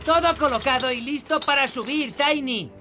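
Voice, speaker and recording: A man voicing a timid, gentle crane truck in a Castilian Spanish children's cartoon dub. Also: very high-pitched, normal speed. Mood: ready. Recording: music behind the voice.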